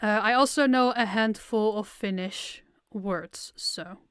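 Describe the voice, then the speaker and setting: Giggly young woman, smooth voice. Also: mid-range voice, takes it slowly, a bit bright, no room sound, studio quality. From Netherlands. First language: Dutch. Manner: chatty, giggly, self-deprecating. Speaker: audio creator streaming a Q&A. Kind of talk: casual livestream Q&A.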